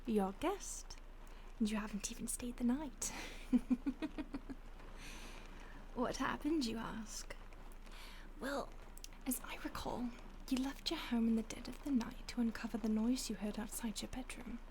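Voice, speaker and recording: A woman voicing a polite, predatory female vampire who toys with her captive in soft, often whispered, mock-polite speech. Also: a little high, a little slow, a little hiss or hum. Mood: teasing. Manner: teasing, mock-polite, savoring. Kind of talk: audio roleplay.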